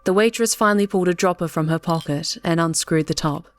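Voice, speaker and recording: A woman narrates an audiobook story in a scripted reading style. Her voice sits a little low, with soft music underneath. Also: fast talker.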